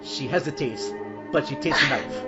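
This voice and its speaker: Male narrator, mid-pitched, for horror audio drama. Dramatic scripted storytelling over a very loud score. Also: animated, normal speed, highs cut off. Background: loud dramatic music.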